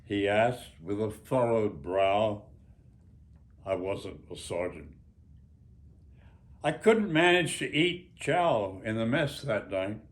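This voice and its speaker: An American man in his nineties, an author reading his own work aloud with a deliberate, halting delivery and pauses between short phrases. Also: lowish voice, lively intonation. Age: 90s. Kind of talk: reading an author's note aloud to a small gathering.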